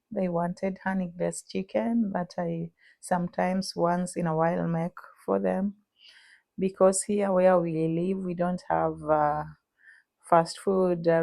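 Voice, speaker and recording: Slow Kenyan woman, gravelly and mellow. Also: fairly low pitch, no room sound. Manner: unhurried, many "uh" pauses while cooking. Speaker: vlogger. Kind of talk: casual cooking vlog.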